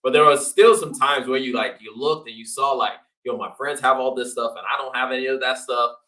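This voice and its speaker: Male interview guest from Nigeria, mid-pitched, very bright voice, casual slangy storyteller. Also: fairly quick. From Nigeria until age twelve, then the United States. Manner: informal, slangy, quoting himself and others.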